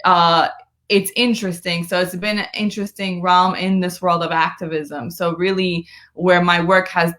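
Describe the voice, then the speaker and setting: A woman's voice: on the low side, level tone, normal speed, a bit bright. An American woman speaking conversationally as an interview guest, earnest and emphatic, often checking in with "right?". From United States, born in Brooklyn, living in Florida. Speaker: guest, community activist and political strategist. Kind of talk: conversational interview on a live stream.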